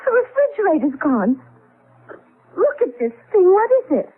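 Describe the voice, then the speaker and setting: An actress with an American accent playing an excitable, wide-eyed secretary wife in a 1970s radio drama. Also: female voice, very high-pitched, normal speed, narrow, tinny audio. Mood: astonished. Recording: band-limited old radio broadcast.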